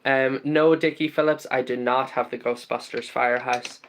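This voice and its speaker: A man's voice: mid-range voice, fairly even intonation, moderate pace, fairly bright tone. A man from the UK chats casually on his live stream in an ordinary mid-range voice. He reads out viewer comments and greets people by name. He rambles a little, with plenty of ums and uhs.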